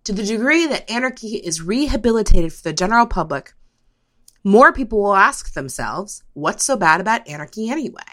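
A woman presenting a podcast, reading a scripted opinion piece in a measured, questioning manner. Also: medium pitch, sing-song, a bit bright.

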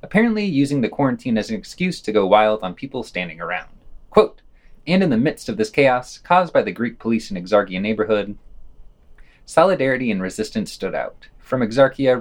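A man hosting a podcast, reading a scripted news digest with quotes and his own commentary. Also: mid-range voice.

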